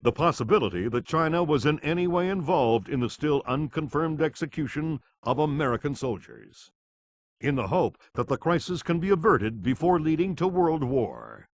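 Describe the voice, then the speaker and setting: An actor playing an earnest television news anchor, formally delivering a scripted crisis report in a game. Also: male voice, a little high, sing-song, normal speed, dry recording. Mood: grave, urgent. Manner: formal, grave.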